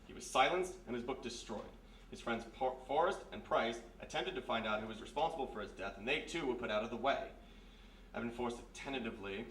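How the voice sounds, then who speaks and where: Man doing a gruff police major, talks fast and official, old radio drama, echoey and a bit far off. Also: mid-range voice, a bit bright, natural voice. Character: gruff, authoritative police major, grudgingly helpful. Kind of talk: 1940s radio mystery acting, live on stage. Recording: echoey live room, distant mic, faint noise.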